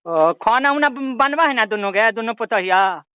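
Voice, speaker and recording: A man's voice: extremely high pitch, animated, moderate pace, pushed and bright, clean tone, dry recording, lo-fi sound, heavily acted. A man voicing a whiny, ailing old villager with a Bihari accent, pleading and rambling in a comic prank call. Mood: fussing. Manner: pleading, rambling, repeatedly addressing the listener as daughter. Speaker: prank caller.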